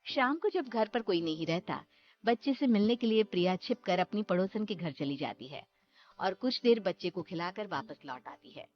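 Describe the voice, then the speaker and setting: The woman telling a bedtime ghost story over an online cartoon, medium-pitched, slightly dull recording. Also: animated, moderate pace, soft background music. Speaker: storyteller, narrator. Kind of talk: scripted horror story narration.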